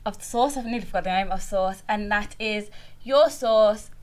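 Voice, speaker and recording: A young woman hosting a student radio show, bubbly and chatty with quick asides. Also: mid-range voice. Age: young adult. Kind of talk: casual chat.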